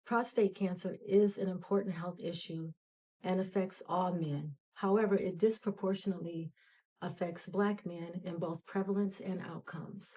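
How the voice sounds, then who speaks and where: Measured woman with a mellow voice. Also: on the low side, steady tone, low-quality recording. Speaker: host of the nurses' association event. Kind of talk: scripted announcement.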